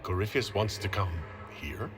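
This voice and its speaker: A man's voice: lowish voice, normal speed, natural voice. A male actor playing a direct, earnest heroic leader in a video game cutscene. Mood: earnest, questioning. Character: commanding heroic adult male leader of an inquisition. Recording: music behind the voice.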